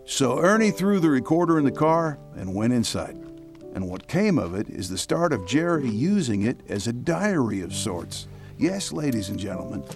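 A male host introducing an audio drama in a theatrical storyteller's way. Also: medium pitch. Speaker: show host. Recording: guitar music under the voice.

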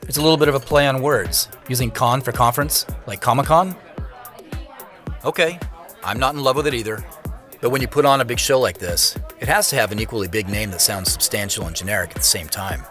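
An actor playing a busy, self-deprecating young tech CEO in a modern audio drama, talking in a casual, wry way. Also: male voice, mid-range voice, brisk, fairly bright tone, soft background music, lightly acted. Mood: upbeat, casual.

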